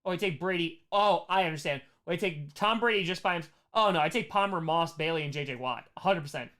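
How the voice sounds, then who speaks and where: An American man hosting a casual livestream Q&A, chatting in an animated way and repeating short phrases for emphasis. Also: a little high, fast talker. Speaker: livestream host answering chat questions.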